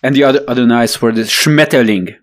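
Young guy cracking dry jokes, normal pitch, laid back. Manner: joking, wry, unhurried. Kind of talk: casual chat.

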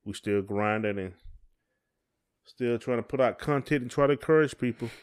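A man's voice: mid-range voice, moderate pace, clear, resonant tone. A middle-aged man, chatting casually as a podcast co-host in a folksy, encouraging way.

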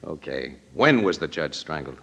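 A man's voice: a bass voice, big pitch swings, moderate pace, gravelly, a bit bright, slightly rough, full character voice. An actor playing a brash, streetwise male crime photographer in an old-time radio drama, breezy and wisecracking. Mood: wry, breezy. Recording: vintage radio broadcast recording.

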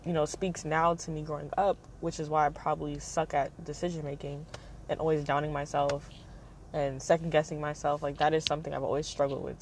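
Low-key monotone young woman, gravelly. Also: a little hiss or hum. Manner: rambling with many fillers. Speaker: podcast host. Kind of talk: casual personal monologue.

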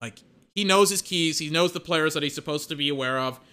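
Bright-voiced excitable young football commentator. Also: male voice, on the high side, brisk, smooth. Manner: excitable, peppered with filler words and mock voices. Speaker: watch-along football commentator. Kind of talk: casual live play-by-play and analysis.

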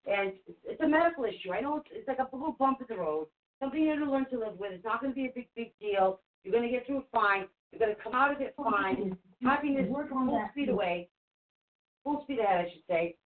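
A woman whose first language is Portuguese, hosting a live call-in psychic reading show in a reassuring, chatty way that repeats things for emphasis. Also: on the high side. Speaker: spirit reader and show host. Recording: phone-like narrow sound.

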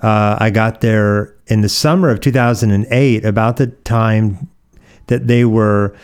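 A middle-aged American man from Oregon, a pastor being interviewed, explaining things conversationally with thoughtful, measured pauses. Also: fairly low pitch, fairly even intonation, darkish tone, clean tone. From Oregon, United States.

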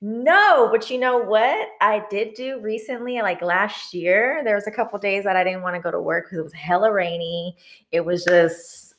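Female co-host, relaxed anecdotes full of fillers. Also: on the low side. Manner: relaxed storytelling with many fillers. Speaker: podcast co-host. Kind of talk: casual chat.

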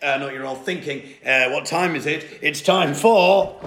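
A man's voice: a little high, normal speed. A man with an English accent hosting a live folk show, joking and laughing as he stumbles playfully over his words. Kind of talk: joking live stage chat. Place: live venue with audience. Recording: roomy live stage sound with crowd.

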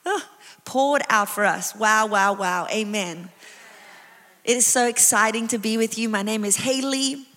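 A South African woman in her thirties preaching a sermon, chatty and animated, with lots of exclamations and asides. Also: fairly high pitch, unhurried. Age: 30s. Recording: long reverb of a large hall.

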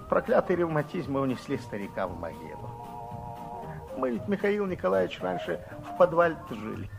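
Creaky, folksy, garrulous old man. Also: on the high side, poor audio. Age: older. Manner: folksy, complaining, rambling old man's talk. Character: garrulous 66-year-old working-class man, ailing, folksy, grateful. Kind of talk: 1960s Soviet radio play acting.

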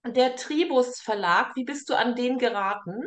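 Echoey, higher-voiced woman hosting a chat. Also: normal speed. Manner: chatty, informal. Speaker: interview host. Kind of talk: casual online author interview. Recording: echoey, roomy sound.

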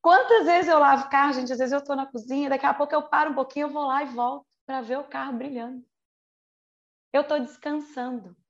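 A Brazilian woman in her forties preaches in a high voice with a noticeable creak. She moves between chatty asides and slow, emphatic key words, often putting questions to her listeners. The recording sounds slightly dull and lo-fi. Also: steady tone. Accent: Brazilian Portuguese. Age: 40s. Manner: frequent rhetorical questions and check-ins with listeners. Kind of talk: conversational sermon to a congregation.

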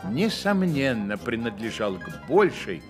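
A man narrating a literary radio play, measured and ironically solemn. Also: mid-range voice, very animated. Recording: loud music under the voice.

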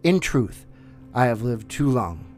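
A man's voice: medium pitch, very animated, measured pace, darkish tone. A man voicing an old, weary male wolf who leads the pack, speaking with solemn dignity over faint music. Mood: resigned.

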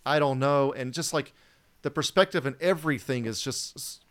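A man's voice: mid-range voice, moderate pace, a bit bright. A man hosting a podcast, musing casually with many fillers. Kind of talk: casual chat.

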